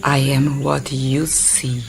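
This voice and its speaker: A cryptic priestess with a gravelly edge in her voice. She speaks in short, calm riddles. A music score plays under her in this studio radio play. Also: rumbling low, takes it slowly, heavily acted. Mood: enigmatic. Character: mysterious Brazilian Macumba priestess.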